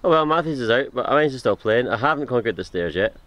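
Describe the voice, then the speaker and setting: A man's voice: medium pitch, lively intonation, fast, dry recording. A man chatting playfully with a friend while driving a remote-control truck in an outdoor park. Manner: cheerful, playful banter. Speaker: RC car driver. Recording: loud outdoor background noise.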